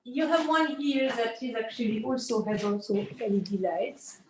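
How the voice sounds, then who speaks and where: A woman's voice: fairly high pitch, normal speed, a little hiss or hum, low-quality recording. A woman from Switzerland gives an informal explanatory studio tour as an artist and costume designer, often searching for words and adding "you know".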